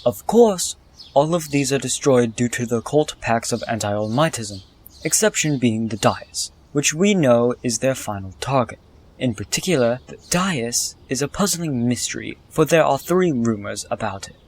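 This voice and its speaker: A man playing a devout animal preacher in an audio drama, speaking in a measured, formal, solemn way. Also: mid-range voice, lively intonation. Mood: solemn preaching. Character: devout male pack preacher, solemn storyteller of lore. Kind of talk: scripted sermon in an audio drama.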